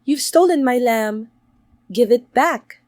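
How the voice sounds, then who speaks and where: A cunning storybook vixen, acted out by a woman, pitched high and dripping with false sweetness. She is greedy and theatrical, pleading her case in a dry, close recording. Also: expressive, slow, a little mellow, dry recording. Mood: dramatic wailing. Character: sly, greedy female fox, sweetly pleading and wailing.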